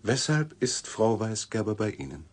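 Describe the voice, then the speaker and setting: An actor playing a young newspaper journalist in a German radio drama, casually inquisitive and wryly polite. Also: male voice, on the low side, big pitch swings, moderate pace. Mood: probing, polite. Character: probing, wry young male newspaper journalist.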